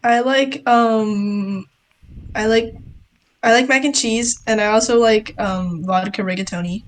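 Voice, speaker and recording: A woman's voice: fairly high pitch, steady tone, a little slow. A young woman co-hosting a student podcast, chatting casually and rambling with lots of fillers and giggles. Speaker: podcast co-host. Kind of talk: casual chat.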